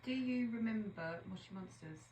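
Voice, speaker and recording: A woman's voice: mid-range voice, normal speed, background noise. A woman co-hosting a podcast in casual chat, chatty and teasing, sometimes trailing off while searching for a word. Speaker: podcast co-host. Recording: echoey room.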